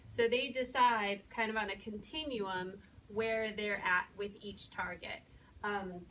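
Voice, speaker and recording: A woman's voice: mid-range voice, fairly even intonation, unhurried, a bit bright. Woman teacher presenting, recorded from a distance. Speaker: first-grade teacher presenting student work. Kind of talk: informal spoken presentation to an audience. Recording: distant mic, faint room noise.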